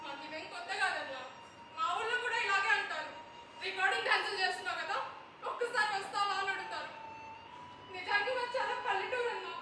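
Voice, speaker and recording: A woman plays a weary village woman in a live stage drama, speaking softly and sadly in a very high voice. The sound is distant and washed in heavy hall echo. Noise sits audibly behind her. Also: fast talker. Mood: sorrowful. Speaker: stage actor. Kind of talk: live stage play acting. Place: theatre stage. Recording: echoey hall, noisy, distant mic.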